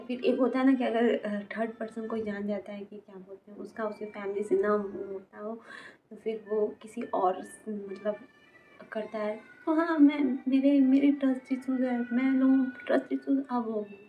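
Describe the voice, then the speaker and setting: A woman in her twenties speaks confessionally to camera in a fairly high voice. Her delivery is halting, circling back over words and leaving sentences unfinished. The sound is thin and phone-like, with some ambient noise behind her. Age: 20s. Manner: halting, repetitive, trailing off mid-sentence. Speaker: vlogger. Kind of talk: personal confessional talk to camera. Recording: tinny phone-like sound, noisy background.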